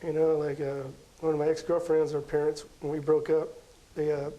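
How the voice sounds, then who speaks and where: A man's voice: fairly high pitch, flat delivery, moderate pace, mellow, muffled recording. An American man chatting as an interview guest, explaining things in a casual, conversational way. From Las Vegas, United States. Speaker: guest astrology card reader.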